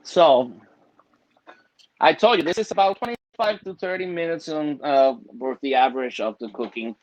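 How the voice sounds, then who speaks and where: A man's voice: a little high, normal speed, dry recording, muffled recording. A man raised in Puerto Rico, cooking in his home kitchen during a live video-call stream, chatting with many fillers and laughing often. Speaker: cooking show guest chef.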